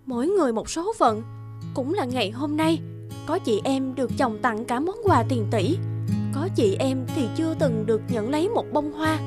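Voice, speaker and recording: A woman voicing an emotional working wife and mother in a cartoon, with an expressive, plaintive delivery. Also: sits high. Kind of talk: animated story voice acting. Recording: background music.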